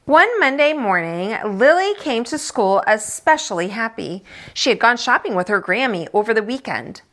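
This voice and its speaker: Woman, high-pitched voice, lively storytime read-aloud, teacher, dramatizing. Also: normal speed. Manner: animated, dramatizing, with asides explaining the pictures. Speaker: teacher reading a picture book aloud.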